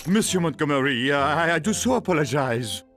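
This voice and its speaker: A man's voice: on the high side, animated, moderate pace, over music. A man playing an obsequious, apologetic French head waiter in a video game cutscene, with a French accent. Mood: fawning, apologetic. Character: fawning, flustered French male head waiter.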